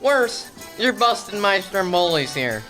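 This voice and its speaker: An actor playing a stressed, exasperated, melodramatic mob boss in a comedic audio drama. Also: male voice, sits high, sing-song, a little slow, sharp tone, soft background music, full character voice. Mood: dismayed. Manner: exasperated, melodramatic mobster talk. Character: stressed, blustering male mob boss secretly running a pizzeria.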